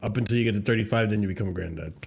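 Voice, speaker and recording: A man's voice: fairly low pitch, racing, gravelly, a little mellow. A man in his thirties co-hosting a casual football chat, who weighs his points and corrects himself as he talks. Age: 30s. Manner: thoughtful, hedging, self-correcting. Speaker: podcast co-host.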